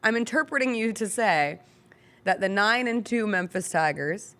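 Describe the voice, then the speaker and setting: A woman's voice: medium pitch, animated, moderate pace, fairly bright tone. A woman hosting a sports talk show in casual banter, with a wry, teasing manner.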